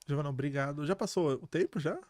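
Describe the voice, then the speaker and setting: A man's voice: a little high, quick pace, a crowd in the background. A man, a podcast guest making short, easygoing remarks. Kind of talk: casual interview chat.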